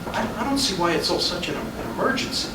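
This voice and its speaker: A man playing a weary husband in his fifties in a staged play reading, hesitant, halting and defensive. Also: high voice, sing-song, recorded from a distance. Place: roomy hall, staged reading at a college. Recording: noisy, echoey stage recording from afar.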